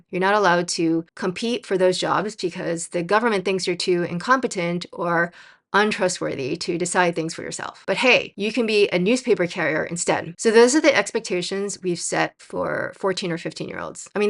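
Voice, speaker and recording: A woman's voice: on the low side, a bit bright. A woman from the United States, a young adult, delivering a scripted commentary video in an opinionated, lightly sarcastic manner. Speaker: video essayist.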